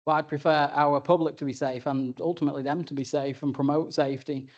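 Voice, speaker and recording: A man's voice: fairly high pitch, steady tone, fast, darkish tone, lo-fi sound. A man speaking as a councillor at a council meeting, asking a question in a plain, informal way. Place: council chamber.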